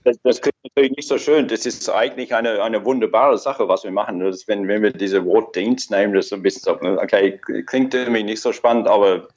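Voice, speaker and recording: A man's voice: on the low side, fairly even intonation, fairly quick, few pauses, a little mellow. Australian man, hesitant, on a video call. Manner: hesitant, repeats words, searches for phrasing. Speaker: guest, orchestra horn player. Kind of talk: casual chat. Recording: dull video call audio.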